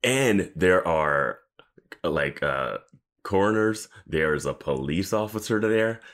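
A man with a very deep voice and lively, swinging intonation co-hosts a podcast. He riffs casually on a TV episode, full of incredulous asides and slangy mock quotes. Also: takes it slowly. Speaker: podcast co-host. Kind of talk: casual chat commenting on a TV episode.

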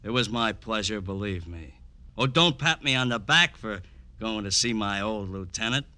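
A bitter, sneering tough guy, with a very bright, hard-edged voice. He drips sarcasm in the punchy manner of a vintage radio crime drama. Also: lowish voice, expressive, moderate pace. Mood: taunting. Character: bitter sardonic pool-hall tough, ex-soldier. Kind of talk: old-time radio drama acting.

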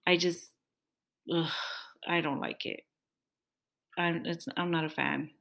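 A young woman chats casually with her partner on a podcast. Her voice sits a little low, and she talks freely and laughs often. She keeps complaining in a playful way. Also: fairly even intonation, slow, vocal fry, a bit bright. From Mexican-American family. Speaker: podcast co-host.

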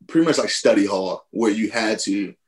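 Laid-back man telling stories, low voice. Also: moderate pace. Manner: animated, laughing, jumps high when quoting himself. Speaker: podcast guest, former college football player. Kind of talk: casual storytelling.

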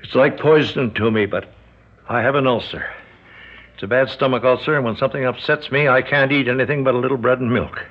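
An actor playing an ailing, indignant American senator held captive in a radio drama, with halting, strained speech. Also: male voice, medium pitch, close to an everyday voice. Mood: suffering. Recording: dull lo-fi radio recording.

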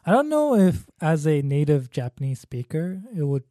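A man's voice: a little high, very animated, normal speed, dark tone, dry recording, clean studio sound. A man talking through his thoughts on a film in a casual discussion, thoughtful and hesitant with many fillers.

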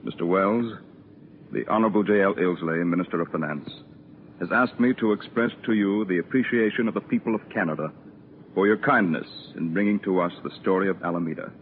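A man's voice: low voice, a little fast, narrow, tinny audio, slight background noise. A man making a formal, courteous announcement on an old radio broadcast.

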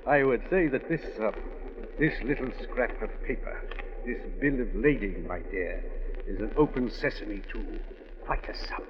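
A man's voice: medium pitch, expressive, normal speed, crowd noise, lightly acted. An actor playing a pompous, stoutish businessman with genteel, hesitant speech in a 1940s radio drama. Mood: smug, genial. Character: stoutish self-important gentleman importer, eager to impress, secretive. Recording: tinny, muffled old radio recording.

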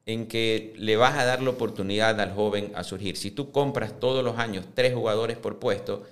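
A man in his fifties answering interview questions on a podcast, speaking in a measured way that often restarts and repeats words as he builds his points. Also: on the low side, steady tone. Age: 50s. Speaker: club presidential candidate.

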